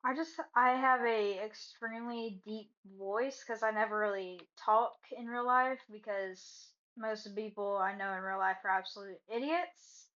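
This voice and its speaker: A woman's voice: mid-range voice, normal speed, hardly any pauses, fairly bright tone, dull audio. A young woman with a blunt, joking, sarcastic manner, giving casual commentary over her own game video. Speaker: gaming video creator.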